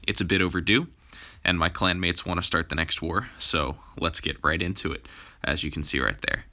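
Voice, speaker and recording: A young man hosting a gaming channel, giving casual gameplay commentary with frequent fillers, his voice a little hoarse from a cold. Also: low-pitched, moderate pace, sharp tone.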